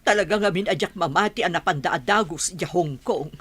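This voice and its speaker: A woman's voice: on the low side, fairly quick, a bit bright, breathy edge. A woman playing an anxious married madam and worried mother in an Ilocano radio drama.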